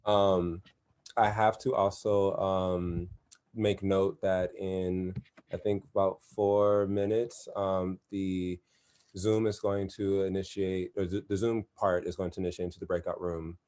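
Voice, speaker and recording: A man hosting a live-streamed gathering, making announcements in a loose, chatty way. His voice sits very low and sounds dark and mellow, and he fills his pauses with "um". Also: clear, resonant tone. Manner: relaxed, chatty, with frequent fillers. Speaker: event host.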